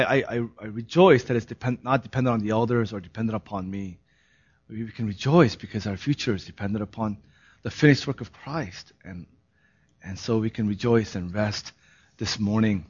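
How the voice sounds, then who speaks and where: A man who is a pastor giving a sermon, hoarse in his voice, moving from a laughing, relaxed opening into earnest, solemn preaching. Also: fairly low pitch, normal speed, lo-fi sound.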